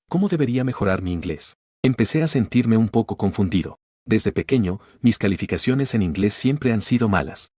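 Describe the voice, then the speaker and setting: A man narrating a comic story in a flat, even read that treats quoted lines the same as the narration. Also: fairly low pitch, breathy edge, no echo, studio quality.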